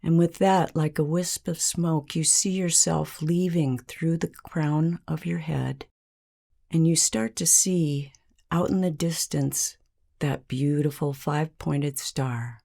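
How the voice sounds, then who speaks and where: A woman narrating a scripted guided meditation in a calm, measured, soothing manner with long pauses. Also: low-pitched, gravelly.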